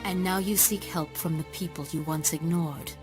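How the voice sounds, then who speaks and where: A woman's voice: low-pitched, steady tone, moderate pace, music in the background, lightly acted. A woman voicing a bitter, ailing alien matriarch. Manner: sardonic, cold. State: ill.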